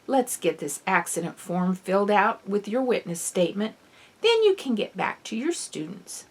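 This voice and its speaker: A woman voicing a tall, calm police officer with an official, questioning manner in an audiobook. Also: mid-range voice, moderate pace. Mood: businesslike. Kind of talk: acted dialogue in an audiobook.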